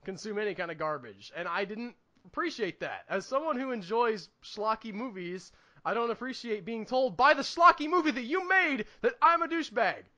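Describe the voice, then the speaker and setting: A man's voice: sits high, lively intonation, normal speed, sharp tone. A man co-hosting a podcast in casual chat, with a wry, opinionated and mildly sweary manner. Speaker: podcast co-host reviewing movies.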